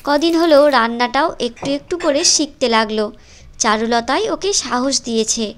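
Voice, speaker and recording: A woman's voice: high-pitched, expressive, normal speed. A woman reading scripted storytelling narration for a moral cartoon. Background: music.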